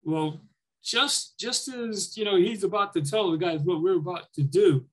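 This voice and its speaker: An older man with a somewhat high voice talks like a veteran looking back on his service. He tells it informally and conversationally, slipping into the dialogue he remembers. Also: moderate pace. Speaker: military veteran, former Army air traffic controller, interviewee. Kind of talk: conversational war reminiscence.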